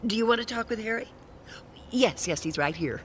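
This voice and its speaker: An actress as lively secretary, over background noise. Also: female voice, on the high side, fairly quick, lightly acted. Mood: friendly. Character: helpful, friendly detective agency secretary, woman. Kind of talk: radio detective drama acting.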